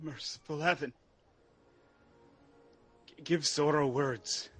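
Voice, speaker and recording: A man playing an earnest exiled prince in a Shakespearean radio drama, declaiming verse with a husky voice. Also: on the high side, steady tone, a bit bright, faint music behind. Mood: urging, stern. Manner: deliberate, declamatory verse delivery. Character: Malcolm, earnest exiled Scottish prince rallying for war.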